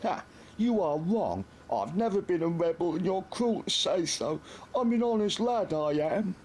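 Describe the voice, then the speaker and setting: A man's voice: sits low, huge pitch swings, unhurried, slight background noise, exaggerated voice. A man voicing a scruffy young petty thief who whines and protests indignantly in an audiobook. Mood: tearful. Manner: whining, indignant, tearful protests. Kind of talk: audiobook character voice.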